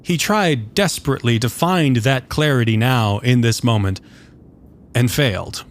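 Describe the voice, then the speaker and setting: A man's voice: mid-range voice, unhurried, slight background noise. A man reading scripted fiction as the narrator of a story. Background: soft guitar music.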